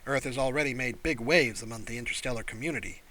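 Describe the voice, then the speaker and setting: Audiobook senior alien diplomat, read by a man, formal, deliberate, principled, bright in tone. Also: medium pitch, a little hiss or hum, lightly acted. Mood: formal, welcoming.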